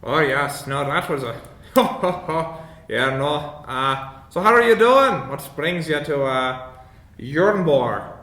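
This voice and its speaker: A man's voice: fairly high pitch, expressive, slow pace, bright, forceful tone, exaggerated voice. A man playing a polite, chatty, hesitant dwarf inventor in a tabletop game. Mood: surprised, cheerful. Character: awkward, polite dwarf inventor, grieving his father. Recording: roomy echo, clear background noise.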